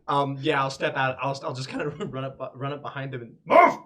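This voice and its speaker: A man's voice: mid-range voice, animated, brisk, bright, forceful tone. A man playing a tabletop game, chatting casually in a brash, blunt, playful way.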